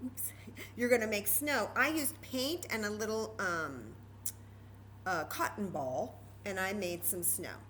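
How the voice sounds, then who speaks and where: A woman's voice: mid-range voice, a little slow, fairly bright tone, slight background noise. A woman presenting a children's story time craft, explaining each step informally and patiently and repeating key words.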